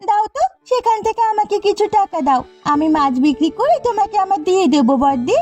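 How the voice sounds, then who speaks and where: A woman's voice: very high-pitched, expressive, fast talker, darkish tone, pitched above the performer's own voice. A woman voicing a pushy, money-minded younger sister who sells fish, wheedling and complaining in a cartoon. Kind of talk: Bengali cartoon dialogue. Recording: slightly muffled audio with background music. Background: background music.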